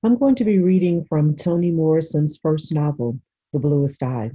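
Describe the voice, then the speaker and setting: A woman narrates literary fiction in a lowish voice. The tone is very soft and dark, with a husky grain, as she reads the prose aloud. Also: normal speed, dull audio. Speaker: reader.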